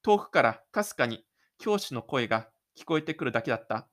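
A man's voice: medium pitch, big pitch swings, fairly quick, a bit bright. Man narrating an audio drama. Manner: dramatic storytelling with spoken sound effects. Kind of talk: scripted story narration.

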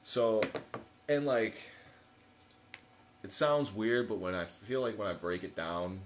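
A man's voice: fairly low pitch, takes it slowly, background noise. A man in his late twenties chatting casually as a podcast guest, rambling along in slangy, profane language. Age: late 20s. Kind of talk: casual chat.

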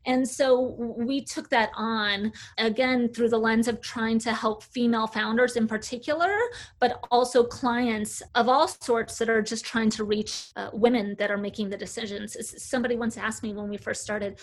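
A woman in her forties, an agency founder, telling her career story in a relaxed interview with frequent "uh"s. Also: fairly high pitch, moderate pace. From United States. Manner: chatty, fluent storyteller with filler "uh"s. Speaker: agency CEO, guest. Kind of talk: casual interview talk.

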